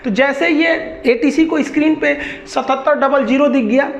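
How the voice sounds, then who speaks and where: A man's voice: very high voice, normal speed, creaky. A man from India with a Bihari accent gives an informal explanatory lesson in a colloquial storytelling way, using everyday examples. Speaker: teacher, online educator. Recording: echoey room, dull lo-fi sound.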